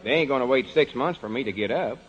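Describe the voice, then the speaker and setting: An actor playing an exasperated, self-important but ignorant country fellow with a rural Southern accent, folksy and scolding, in 1940s radio comedy. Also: male voice, mid-range voice, lively intonation, fast, a crowd in the background, close to an everyday voice. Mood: bemused. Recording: dull 1940s radio broadcast recording.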